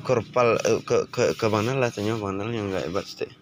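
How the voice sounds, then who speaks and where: A man with a fairly low voice chats casually and teasingly. It is a handheld phone recording in a small shop, with clear background noise. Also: moderate pace. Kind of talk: casual chat. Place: small open-fronted shop by a road.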